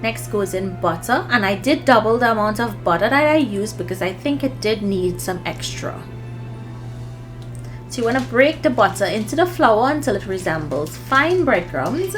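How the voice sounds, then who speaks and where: A woman's voice: medium pitch, animated, moderate pace, faint music behind. A woman presenting a recipe for children in an instructive, friendly demonstration style, speaking directly to them.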